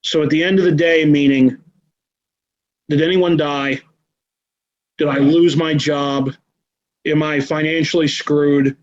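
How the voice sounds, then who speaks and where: A man's voice: a little high, dull audio. A man in his thirties chatting casually as a podcast guest, blunt and profane in an offhand way. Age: 30s.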